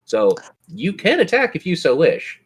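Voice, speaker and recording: A man's voice: on the high side, huge pitch swings, unhurried, breathy edge. A man acting as game master, narrating vividly and ruling casually on a tabletop game. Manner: descriptive, vivid monster narration.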